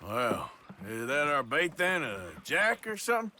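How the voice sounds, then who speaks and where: A man's voice: on the high side, very animated, measured pace, bright, forceful tone, heavily acted. A man playing a weary, sceptical American outlaw in a Western video game, blunt and grumbling with dry sarcasm. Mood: relaxed. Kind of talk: dramatic video game acting. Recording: game audio with background noise.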